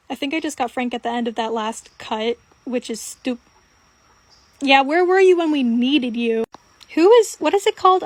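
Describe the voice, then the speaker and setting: A young woman with a high voice chats casually as she improvises commentary. She is playful, slangy and prone to giggling. The recording is dry, with no room sound. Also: no room sound. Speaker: video creator. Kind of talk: casual improvised commentary over fan videos.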